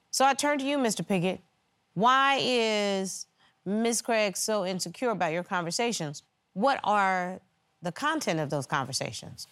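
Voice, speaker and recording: A woman judge on a courtroom show, firm and direct, pressing the parties with pointed questions. She speaks at a middling pitch, in the measured tone of someone running the proceedings. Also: fairly bright tone, clear, resonant tone. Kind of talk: courtroom arbitration.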